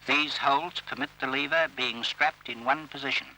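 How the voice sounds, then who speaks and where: A man reading scripted instructional narration for an old training film, in a formal, measured and instructive manner. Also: mid-range voice, fairly even intonation, sharp tone. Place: voice-over recorded for film. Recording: old scratchy railway training film soundtrack.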